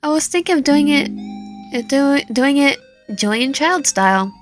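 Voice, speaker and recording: A woman's voice: high-pitched, animated, normal speed, soft background music, voice pushed up. Woman acting a dry, grudging captive spouse. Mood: casual, dry.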